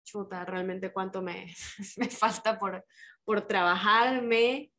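A woman with a middle-pitched voice, chatting on a podcast. She agrees readily and speaks casually, with fillers and small restarts. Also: takes it slowly, sharp tone, a little hiss or hum. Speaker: podcast co-host. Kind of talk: reflective podcast conversation.

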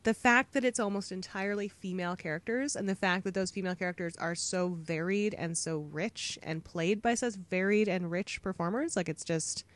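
A woman's voice: medium pitch, normal speed, few pauses. A young woman co-hosting a casual podcast, playful and laughing often, with frequent fillers. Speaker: podcast co-host.

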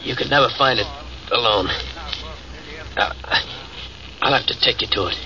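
An actor playing a battered, cunning male ex-con in an old radio drama, weak and in pain, speaking quietly, tersely and guardedly. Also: mid-range voice, moderate pace, highs cut off, a crowd in the background, close to an everyday voice. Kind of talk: 1940s radio acting. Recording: distant, very noisy old radio recording.

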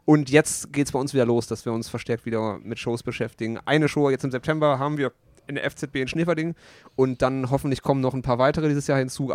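A man's voice: medium pitch, darkish tone, no room sound. Band member, male, mid voice, plain answers, dry close sound. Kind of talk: casual interview.

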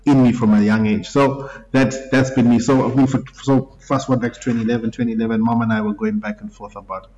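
Relaxed man in his thirties. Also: medium pitch, fast talker, few pauses, highs cut off, a little hiss or hum. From Zimbabwe. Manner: relaxed, laughs easily, self-correcting. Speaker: guest musician. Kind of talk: casual interview talk.

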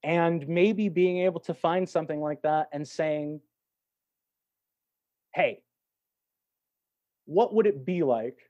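A man's voice: a little high, takes it slowly, long pauses, warm tone, no room sound, highs cut off. A man talking in a reflective, conversational way as a podcast guest, encouraging and reassuring as he gives advice. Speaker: podcast guest, actor and tarot reader.

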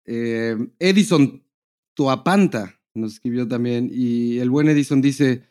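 Mellow Mexican man, casual podcast chat. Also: mid-range voice, slow pace, clear, resonant tone. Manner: crude, slangy, joking. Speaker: podcast co-host. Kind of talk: casual chat, reading out listener messages.

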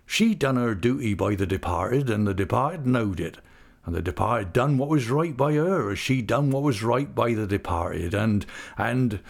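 Hearty, kindly rustic English fisherman acted by a male reader, older, animated and gravelly, jovial storybook character. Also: mid-range voice, normal speed, voice pushed up. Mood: hearty reassurance. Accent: rural English dialect. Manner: jovial, earnest, homely speech.

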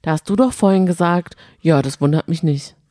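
A woman's voice: sits low, fairly quick, warm tone, no room sound, studio quality. A woman co-hosting a podcast in casual chat, speaking colloquially and with emphatic opinions. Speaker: podcast co-host. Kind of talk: casual chat about a reality show.

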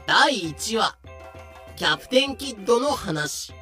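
A man's voice: high-pitched, lively intonation, slow pace, bright, forceful tone, faint music behind. A man narrating a scripted audio drama.

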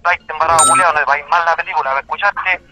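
A man's voice: on the high side, fairly even intonation, fast, sharp tone. A Chilean man arguing heatedly on a phone call in foul-mouthed, repetitive street slang. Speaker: prank call recipient. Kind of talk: heated informal phone argument. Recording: dull telephone line with music behind.

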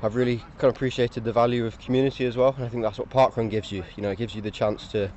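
A man's voice: medium pitch, level tone, racing, a little mellow. A man speaking enthusiastically and fluently. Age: 20s. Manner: enthusiastic, articulate. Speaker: parkrun runner interviewee. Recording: dull lo-fi sound.

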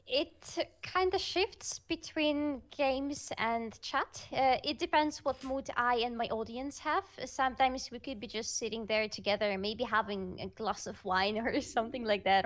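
A fairly high-pitched woman whose first language is Russian, guesting in a relaxed interview. She talks at length, often pausing on small fillers and breaking into laughter. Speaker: streamer guest. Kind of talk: casual interview chat.

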